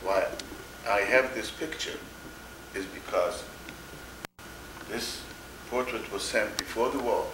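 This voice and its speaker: An older man from Poland giving oral history testimony in a slow, halting way, often repeating words. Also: lowish voice. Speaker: interviewee, Holocaust survivor. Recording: echoey room with background noise.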